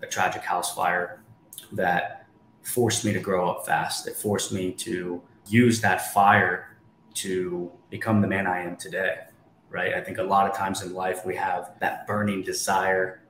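A man's voice: deep voice, level tone, a little slow, a bit bright. A man in his twenties, a guest on a podcast, telling his story in an emphatic, motivational way and often asking rhetorical questions. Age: 20s. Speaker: guest, real estate investor. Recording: echoey room, faint background noise.

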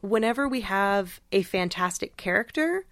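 A woman's voice: medium pitch, animated, normal speed, a bit bright, no echo, clean studio sound. A woman co-hosting a podcast in casual, informal discussion, often checking for agreement as she explains. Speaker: podcast co-host.

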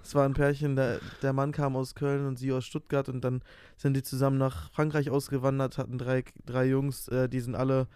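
A man's voice: mid-range voice, flat delivery, brisk, few pauses, a little mellow, smooth, no room sound. A young man co-hosting a casual podcast, joking and playfully swearing at his friend. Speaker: podcast co-host. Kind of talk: casual chat. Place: in Hannover.

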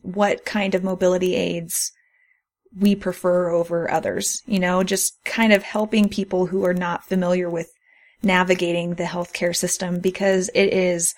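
A woman's voice: fairly low pitch, little pitch movement, normal speed. A woman hosting a podcast in an earnest, encouraging, conversational way. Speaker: podcast host.

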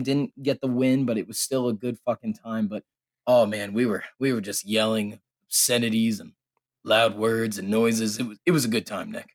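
A man hosting a podcast in casual, profane banter, his voice hoarse and a little raspy, often laughing. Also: mid-range voice, dry recording. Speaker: podcast host.

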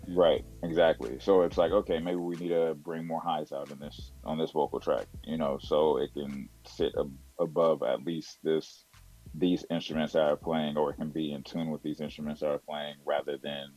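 A man with a very deep voice, talking casually as an interview guest about his music, full of "you know" and "like". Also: flat delivery, normal speed, dark tone, highs cut off. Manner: rambling, filler-heavy with "you know" and "like".

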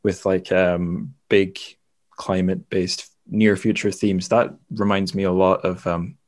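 A man's voice: deep voice, flat delivery, measured pace, a little mellow. A man hosting a podcast in a casual, rambling literary discussion, often correcting himself as he feels his way to a point. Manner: tentative, rambling, self-correcting. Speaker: podcast host, interviewer.

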